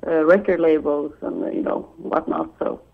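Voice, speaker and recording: A Swedish woman, a guitarist, talking on the phone in halting English with a Swedish accent and frequent laughter. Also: deep voice, very animated, creaky, slightly rough. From Sweden. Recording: dull phone line.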